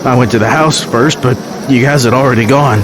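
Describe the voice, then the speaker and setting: A man's voice: medium pitch, fairly quick, noisy background, natural voice. An actor playing a loving, worn-out father who speaks tenderly to his family in an audio drama. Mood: relieved, tender.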